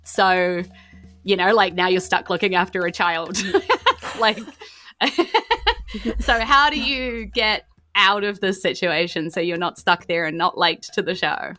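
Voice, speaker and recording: A woman with a New Zealand accent and a bright, forward voice runs a tabletop roleplaying game. She narrates casually and chattily, prompting her players with questions. Faint music plays behind her. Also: medium pitch, takes it slowly. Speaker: game master.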